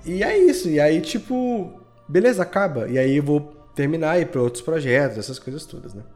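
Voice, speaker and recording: A man's voice: fairly high pitch, sing-song, a little fast, a little mellow. A man with a Brazilian accent speaking casually and informally, with plenty of slang, as a podcast guest. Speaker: podcast creator being interviewed. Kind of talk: casual chat. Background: music.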